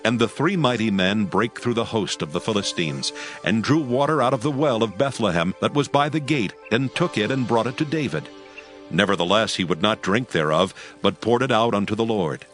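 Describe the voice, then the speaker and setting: Low-voiced male Bible narrator over music. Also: lively intonation, a bit bright. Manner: measured, formal storytelling. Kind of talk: scripted dramatized Bible narration.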